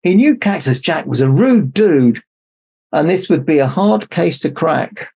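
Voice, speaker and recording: Gravelly man reading a children's storybook. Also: on the high side, expressive, measured pace, a little mellow. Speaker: storybook reader in Santa persona. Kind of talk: expressive children's read-aloud narration.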